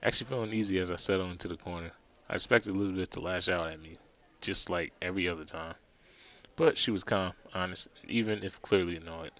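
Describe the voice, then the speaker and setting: Low-voiced man reading a visual novel. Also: fast, fairly bright tone, lo-fi sound. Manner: stumbling restarts, playful asides. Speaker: let's play narrator.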